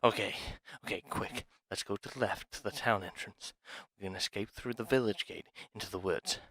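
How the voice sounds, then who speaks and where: A man's voice: on the low side, moderate pace, pushed and bright, no echo. A man playing an eager, obsessive young thief in an ASMR roleplay, speaking in an urgent, stammering, clingy way.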